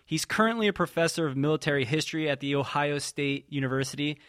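A man's voice: on the high side, fast talker, a bit bright, dry recording, studio quality. A man co-hosting a podcast who reads out the guest's introduction with upbeat, joking banter. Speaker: podcast co-host. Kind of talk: casual podcast chat.

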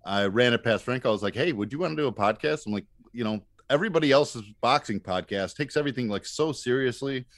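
A man speaking as a podcast guest, telling stories casually in a halting, wryly self-mocking way. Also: medium pitch, expressive, no echo, studio quality. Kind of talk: casual anecdotal storytelling.

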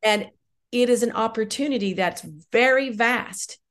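A woman's voice: fairly high pitch, measured pace, fairly bright tone. A middle-aged woman speaking as an interview guest, candid and emphatic in a reflective conversational way. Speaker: guest, audio drama writer and director.

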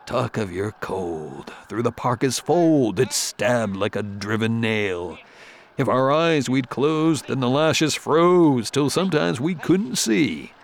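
A man's voice: medium pitch, expressive, slow pace. A man narrating rhyming horror verse in a theatrical storytelling cadence. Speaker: poem narrator.

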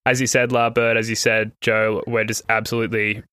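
An Australian man hosts a casual podcast and talks in a chatty, self-deprecating way, with a faint American tinge to his accent. His voice is bright and forward. The recording is dry, with no room sound. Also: on the low side, level tone, fairly quick, clear, resonant tone, no room sound. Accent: Australian with a slight American tinge. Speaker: podcast host.

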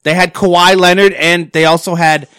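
A man's voice: high voice, flat delivery, moderate pace, fairly bright tone, clean tone, no room sound. Blunt man in a dry room. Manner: blunt, combative, swears often. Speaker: podcast co-host. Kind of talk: casual sports chat.

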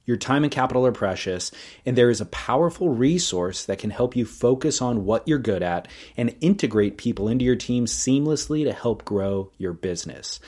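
Guy doing a podcast ad read, medium pitch, scripted promo copy. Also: a little mellow. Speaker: podcast sponsor announcer.

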